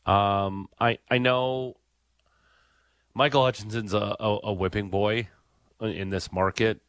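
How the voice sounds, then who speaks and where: A man's voice: deep voice, a bit bright, no room sound, studio quality. A man hosting a sports radio talk show, leading the chat with questions in a conversational way. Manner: conversational, leads the discussion with questions.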